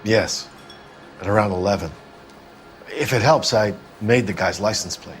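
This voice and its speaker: Low-voiced, world-weary club owner played by a man. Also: measured pace, faint music behind, lightly acted. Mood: wistful, guarded. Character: world-weary, gruff middle-aged male 1940s club owner. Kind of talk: video game voice acting.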